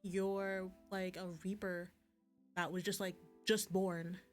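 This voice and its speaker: A woman is chatting on a casual game stream with music playing faintly behind her. Her voice sits at an average pitch for a woman. She rambles and gushes, breaking off her own sentences and starting new thoughts as she goes. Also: little pitch movement, takes it slowly. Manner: rambling, gushing, self-interrupting. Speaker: livestreamer playing visual novels. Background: background music.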